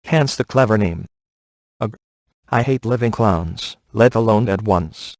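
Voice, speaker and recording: A man playing a wisecracking, sardonic ghost hunter in a video game, with a deadpan, offhand delivery. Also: on the low side, measured pace, dry recording, studio quality. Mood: wry. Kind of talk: video game voice acting, comic one-liners.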